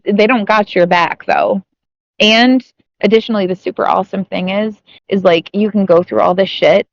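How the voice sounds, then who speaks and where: A woman's voice: lowish voice, gravelly, dry recording. A woman talking in a casual, rambling way, often restarting her sentences and swearing. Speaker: speaker sharing her story. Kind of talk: casual spoken monologue. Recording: muffled, dry lo-fi audio.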